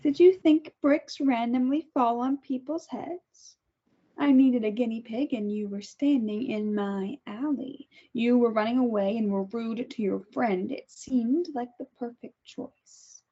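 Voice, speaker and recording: A woman's voice: on the high side, a little slow, husky. Woman reading a cold, lying mother. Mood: accusing. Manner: cold and defensive. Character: controlling, lying mother woman, middle-aged. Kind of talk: amateur table read. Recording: dull lo-fi recording.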